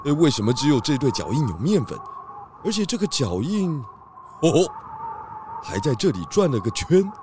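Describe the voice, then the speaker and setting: Man voicing an animated, musing cartoon pig detective. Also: fairly high pitch, normal speed, pauses often, music in the background. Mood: pondering. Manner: musing, questioning, hesitating aloud. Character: inquisitive male pig detective, composed and decisive. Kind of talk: children's audio detective drama.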